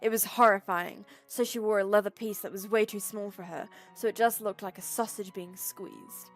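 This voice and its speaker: A woman giving a mock-dramatic, deadpan comedic reading of a story. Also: medium pitch, normal speed, soft background music. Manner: deadpan mock-serious narration. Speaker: reader.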